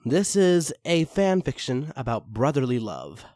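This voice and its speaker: A man's voice: medium pitch, sing-song, measured pace, mellow, no room sound, clean studio sound. A man reading fan fiction aloud as a dramatic story narrator.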